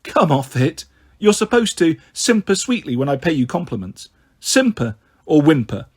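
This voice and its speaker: A man's voice: a little high, very animated, normal speed, breathy edge. A man voicing a sardonic teenage girl in an audiobook, dry and teasing but caring.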